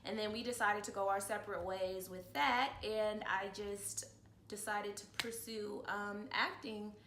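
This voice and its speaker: Early 30s woman guest just chatting about her life, mid pitch, echoey room. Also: fairly even intonation, unhurried, fairly bright tone. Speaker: interview guest, actress. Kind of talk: casual personal chat. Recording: echoey room with faint noise.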